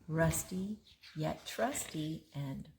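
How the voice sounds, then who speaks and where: Slow, expressive woman reading to children. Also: deep voice, gravelly, a little hiss or hum. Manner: expressive, prompting a child to fill in rhymes. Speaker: story reader and co-host. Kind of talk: animated read-aloud of a rhyming picture book for children.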